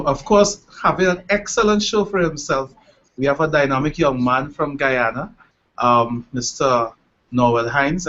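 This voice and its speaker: A man with a Trinidadian accent hosts an online panel, introducing people in a chatty, halting, informal way. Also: on the high side, fairly bright tone. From Trinidad and Tobago. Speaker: panel host. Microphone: online video call. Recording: dull lo-fi web stream.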